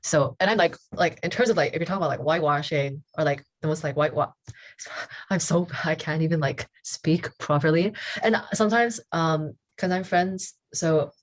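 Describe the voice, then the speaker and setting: Rambling young woman with a low voice. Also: normal speed. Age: young adult. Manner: rambling, self-deprecating. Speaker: podcast co-host. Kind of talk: casual chat.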